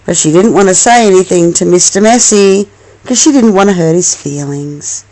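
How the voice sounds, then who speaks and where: A woman reading a storybook aloud as a mother to her young child, expressive and affectionate, with coaxing asides. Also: on the low side, moderate pace, low-quality recording.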